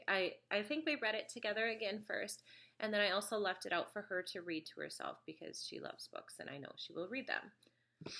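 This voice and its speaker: Woman, 30s, bright voice, slightly sniffly, chatty reviewer with lots of ums. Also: medium pitch. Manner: chatty, frequent ums, pages through a book. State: slight cold, sniffly, occasional cough. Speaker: homeschool product reviewer. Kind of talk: casual video review.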